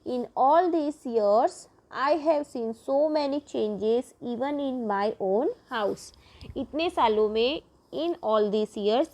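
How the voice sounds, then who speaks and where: A fairly high-voiced woman, teaching a primary class. She reads each textbook sentence at a very deliberate crawl, then explains it again in her own words. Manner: reads English lines slowly, then explains rapidly in Hindi-English mix. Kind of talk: bilingual textbook lesson, reading English then explaining.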